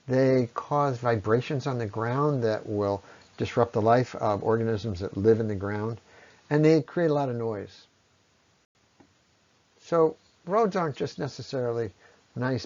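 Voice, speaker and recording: A man presenting an explanatory slide talk in a conversational, unscripted way, with halting phrasing and frequent pauses. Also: medium pitch, darkish tone, dull audio. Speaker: presenter, ecology lecturer.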